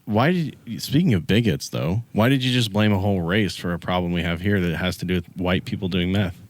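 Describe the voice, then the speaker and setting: A man's voice: low voice, brisk, dry recording. A man from Utah co-hosting a casual comedy podcast, dry and teasing. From American Fork, Utah. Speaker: podcast co-host.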